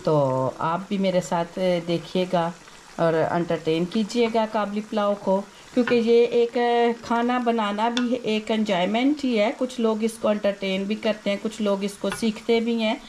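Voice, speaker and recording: A woman with a sore, hoarse throat hosting a home cooking video, chatting her way through each step. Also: medium pitch, steady tone, a little slow, a little mellow, slight background noise. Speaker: cooking channel host. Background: frying and sizzling in a kitchen.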